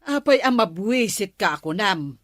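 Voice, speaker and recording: A woman's voice: medium pitch, expressive, unhurried, a bit bright, no echo. Lady playing bossy ilocano village woman, nagging, comedy drama, dry studio sound. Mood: scolding.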